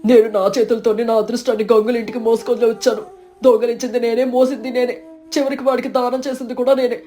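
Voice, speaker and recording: A woman's voice: a little high, little pitch movement, quick pace, warm tone, close to an everyday voice. A woman voicing a greedy, jealous village man who wails over his own misfortune. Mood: remorseful. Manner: wailing, self-pitying.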